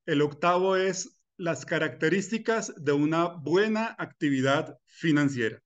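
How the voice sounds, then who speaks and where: A man's voice: a little high, lively intonation, a little slow. A middle-aged man from Colombia teaching a personal finance masterclass, talking earnestly and personally, with "eh" fillers and restarts. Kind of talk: recorded masterclass lecture.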